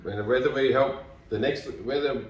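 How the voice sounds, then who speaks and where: A man's voice: medium pitch, normal speed. A man in his fifties with an Australian accent, co-hosting a motivational podcast in an upbeat, encouraging way. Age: 50s. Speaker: podcast co-host. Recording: roomy, distant mic.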